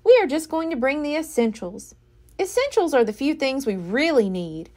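A kindly storybook mother llama, played by a woman reader, guides and encourages the young. Her voice is on the high side and very lively as she gives reassuring instructions. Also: normal speed. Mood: gently encouraging.

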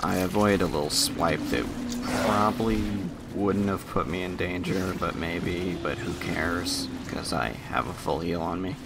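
A man's voice: low voice, measured pace, creaky, heavy background noise. A man giving casual, offhand commentary over his own video game playthrough.

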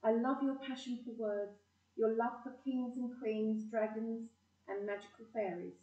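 A woman playing a kind, bookish English teacher in an audio play, with a soft, gentle voice. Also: on the high side, fairly even intonation, a little slow, lo-fi sound, lightly acted. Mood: fond, gentle. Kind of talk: stage-style audio play. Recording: roomy, distant from the mic.

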